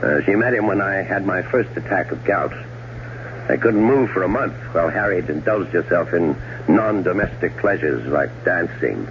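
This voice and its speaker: An actor playing a cold-blooded, middle-aged scheming brother in a 1970s radio drama, suave, sardonic and deliberate. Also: male voice, low voice, expressive. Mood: smooth, calculating. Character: scheming, cold-blooded older brother plotting his wife's murder. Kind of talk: 1970s radio drama acting and first-person narration. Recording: dull, noisy old radio broadcast recording.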